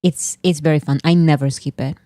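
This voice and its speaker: Teasing woman host, slightly low voice. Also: steady tone, brisk, dark tone, no room sound, studio quality. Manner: teasing, laughs while pushing ahead. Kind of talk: casual chat.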